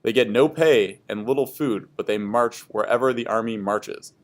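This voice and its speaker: A man's voice: mid-range voice, normal speed. Man narrating a history comic. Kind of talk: scripted educational history narration for a class.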